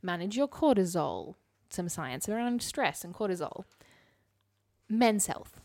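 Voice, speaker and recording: A woman's voice: mid-range voice, very animated, measured pace, darkish tone. A woman co-hosting a podcast, chatting casually and summarising articles in an informal, explanatory way with wry asides. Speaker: podcast co-host.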